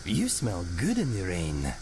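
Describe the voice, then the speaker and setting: Casting: male actor for amiable feline sidekick, game role, low gravelly voice, hugely swooping intonation. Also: wildly swooping pitch, measured pace, darkish tone, slight background noise, full character voice. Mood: amiable, quirky. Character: quirky, amiable male cat-man adventuring companion. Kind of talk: video game companion dialogue.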